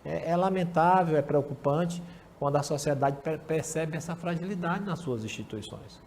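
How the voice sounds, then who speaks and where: A man's voice: on the high side, normal speed, darkish tone, slight background noise. A man, a professor giving emphatic political commentary on a talk show, often repeating words as he builds his point.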